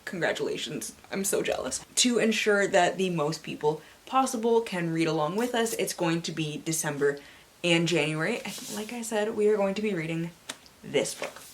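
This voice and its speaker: A North American woman hosting a book vlog in a playful, theatrical manner, mixing casual chat with dramatic readings and asides. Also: lowish voice. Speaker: book channel host. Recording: clearly audible background hiss.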